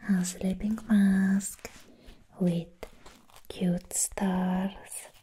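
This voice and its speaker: A woman acting a sweet, friendly vampire girl in an ASMR roleplay. She whispers in a soft, mellow, breathy tone, very slowly and with long pauses. Faint noise and small clicks sit behind the voice. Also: mid-range voice, little pitch movement. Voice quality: breathy, mostly unvoiced whisper. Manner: gentle, playful, soothing, with long pauses.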